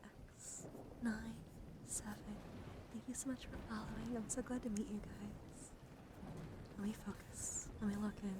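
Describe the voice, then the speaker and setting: A woman hosting an ASMR livestream, speaking softly and breathily into a close mic, often slipping into whispers, in a playful, cutesy, scattered way. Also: fairly high pitch, level tone, a little slow, pauses often, very noisy. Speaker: livestream host. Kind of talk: ASMR livestream, whispering and soft talk to chat.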